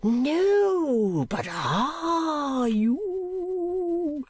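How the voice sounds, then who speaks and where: A woman voicing an eccentric, courtly old gentleman in a storybook, with pompous, grandiose phrasing. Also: sits high, very animated, dry recording, pitched above the performer's own voice, heavily acted. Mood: eager, anxious. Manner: florid, pompous, drawn-out phrasing.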